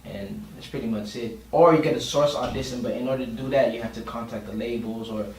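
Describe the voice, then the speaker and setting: A man's voice: mid-range voice, fairly even intonation, fast, a little mellow. A man giving a casual podcast interview as a guest, speaking informally with plenty of slang. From Miami, Florida, USA. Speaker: guest singer and producer. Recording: roomy, distant mic, faint noise.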